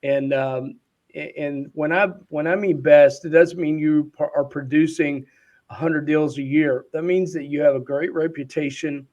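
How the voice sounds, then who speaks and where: A man with a slightly high-set voice hosts an interview show. He speaks informally and chattily, feeling his way through sentences in a halting, conversational style. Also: steady tone, darkish tone, lo-fi sound. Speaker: podcast host interviewer.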